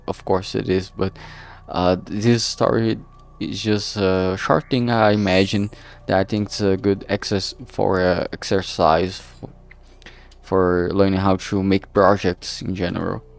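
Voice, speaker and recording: A man livestreaming casually while he works, talking in a halting, distracted way that trails off mid-sentence. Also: on the low side, vocal fry, darkish tone. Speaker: streamer and visual novel creator. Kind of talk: casual solo livestream chat while working. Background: background music.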